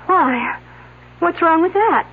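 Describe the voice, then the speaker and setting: A woman's voice: extremely high pitch, animated, slow, heavily acted. An actress playing a terrified young woman poisoned by radiation, pleading and panicky in a 1970s radio drama. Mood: frightened. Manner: pleading, panicky, rising to screams. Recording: tinny lo-fi old radio broadcast.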